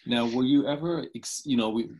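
A man's voice: mid-range voice, brisk, darkish tone, husky. A middle-aged man hosting an interview on a video call, asking casual questions and affirming answers with short responses. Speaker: interview host. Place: home room, video call.

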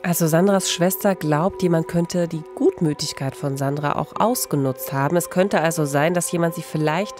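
A woman, narrating a true-crime podcast as host in a measured, scripted style. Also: low voice. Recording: voice over music bed.

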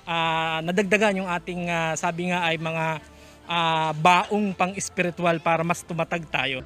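A man's voice: on the high side, steady tone, measured pace, fairly bright tone, faint music behind. A man talking as a church member, hesitant with many fillers.

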